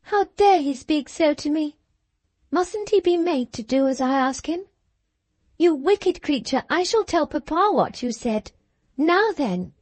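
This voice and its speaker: A proud, spoiled young girl who orders people about in a high, indignant voice, as a woman narrator puts her on. She sounds much higher than the reader's own voice, and she talks slowly. It is a dry, close storybook reading. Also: sing-song, darkish tone, dry recording. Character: spoiled imperious young girl, haughty and tearful.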